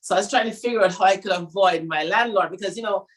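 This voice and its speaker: A woman's voice: medium pitch, fast talker. A middle-aged woman from Jamaica, a fashion designer, telling her story in an interview and acting out the people she quotes. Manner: animated storyteller who acts out quotes.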